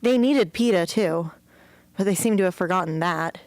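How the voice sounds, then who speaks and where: A woman's voice: on the low side, normal speed, darkish tone, dry recording, natural voice. A woman playing a troubled, determined teenage girl rebel in an amateur radio drama. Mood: sullen.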